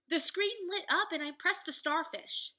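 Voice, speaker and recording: Woman putting on an eager, high, bright storybook girl. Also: measured pace, exaggerated voice. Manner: eager, impulsive.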